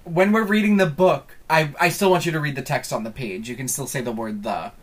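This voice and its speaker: Teasing man laying down podcast rules. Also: fairly high pitch. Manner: teasing, deliberate rule-setting. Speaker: podcast co-host. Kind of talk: casual podcast banter.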